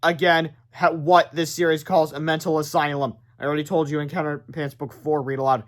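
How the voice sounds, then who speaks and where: A man's voice: fairly high pitch, level tone, fairly quick. A man narrating a children's storybook aloud in a theatrical, informal way.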